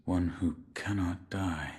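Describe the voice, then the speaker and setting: A man's voice: deep voice, fairly even intonation, slow pace, darkish tone. A man playing a stoic, dutiful shinobi warrior in a video game, speaking in a terse, grave and restrained way. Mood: flat, inquiring. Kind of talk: dramatic video game dialogue.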